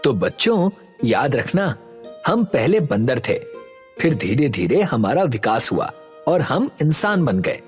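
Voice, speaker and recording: An earnest young schoolteacher from a village tale, acted by a man. His mid-pitched voice moves animatedly as he explains things with care. Light music plays behind him in cartoon-story style. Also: very animated, takes it slowly. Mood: patient, instructive. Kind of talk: Hindi animated story voice acting.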